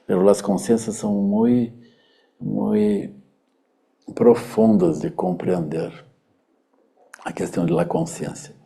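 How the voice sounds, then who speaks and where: A Brazilian man, a native Portuguese speaker with a Brazilian Portuguese accent, gives a hesitant Buddhist teaching with many pauses and fillers, sometimes slipping into Portuguese. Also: lowish voice, sing-song, gravelly, warm tone, slightly rough. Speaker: Buddhist teacher giving a public talk. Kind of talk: unhurried dharma talk.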